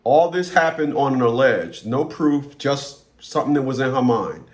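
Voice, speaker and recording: A man's voice: medium pitch, animated, normal speed, crowd noise. A man hosting a casual advice consultation, talking bluntly and colloquially and often repeating his words.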